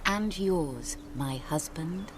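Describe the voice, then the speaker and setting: An actress playing a devoted young noblewoman bride in a fantasy game, gentle, romantic and formal. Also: sits low, lively intonation, very slow, a little hiss or hum, full character voice. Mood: tender. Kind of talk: dramatic video game acting.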